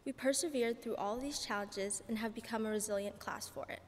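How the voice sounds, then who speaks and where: A teenage girl with a fairly high voice reads out a student speech. The church sound is very reverberant, with a faint rumble underneath. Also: brisk. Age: teenager, about 14. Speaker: graduating student speaker. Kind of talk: reading a prepared ceremony speech. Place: large church, indoors. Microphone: podium microphone.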